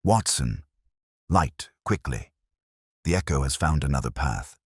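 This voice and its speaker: A coolly rational Victorian detective read by a male narrator speaks with great authority in an audiobook. The voice is extremely low and dry, with formal, precise phrasing and lively movement in pitch. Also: unhurried, breathy edge, no room sound, exaggerated voice. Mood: urgent, commanding. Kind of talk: audiobook character dialogue.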